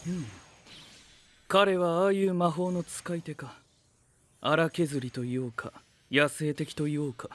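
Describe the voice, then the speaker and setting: A man's voice: a little high, steady tone, a little slow, pauses often, a bit bright. A man playing a haughty, exacting young researcher in an anime voice drama, speaking in stiff, pedantic, formal phrases. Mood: cool, exacting. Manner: stiff formal wording, pompous, clinical.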